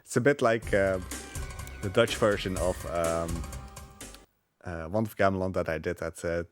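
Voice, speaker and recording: A man's voice: deep voice, a little slow, faint music behind. A man giving casual live commentary on his own speedrun, rambling with hesitant asides as he plays. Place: live gaming marathon event, game audio behind.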